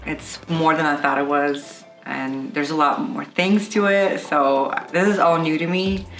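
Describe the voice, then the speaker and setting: A young woman from Ukraine, an actor talking earnestly in a behind-the-scenes interview, pausing on fillers. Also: low-pitched, steady tone, a bit bright. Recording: echoey room, distant mic, music underneath.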